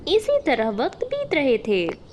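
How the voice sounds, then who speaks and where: Casting: female narrator for children's tales, very high voice, highly animated delivery, some noise behind. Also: slow pace. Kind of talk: scripted children's story narration.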